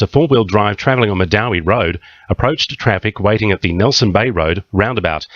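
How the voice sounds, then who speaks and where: A man's voice: fairly low pitch, fairly bright tone, no echo, dull audio. An Australian man reading a scripted radio news bulletin in a studio.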